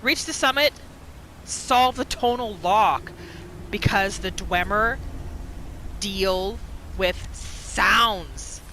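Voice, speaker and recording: A woman hosting a gaming video, giving casual playthrough commentary in an expressive, exclamatory way. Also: medium pitch, extremely slow, pauses often, slight background noise, low-quality recording. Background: game music.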